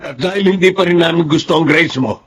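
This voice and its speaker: A man's voice: fairly high pitch, brisk, fairly bright tone, breathy edge. A man playing a stern, controlling older patriarch in a Filipino radio drama, authoritative and insistent, with a Filipino accent. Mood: angry, commanding.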